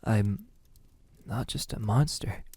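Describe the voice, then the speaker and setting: A man playing a possessive, lovesick vampire captor in an ASMR roleplay, speaking intimately in a husky voice that often drops into a whisper. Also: fairly low pitch, a little mellow. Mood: pleading, wounded. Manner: slow, lingering, halting delivery.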